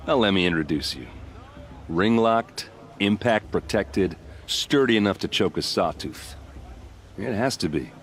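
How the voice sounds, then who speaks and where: A deep male voice for a cocky elite soldier in a video game. He teases easily and flirts with wry confidence. Faint outdoor ambience sits behind him. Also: expressive, a little slow, slight background noise. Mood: boastful, flirtatious. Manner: easygoing, teasing, wry. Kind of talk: video game cutscene acting.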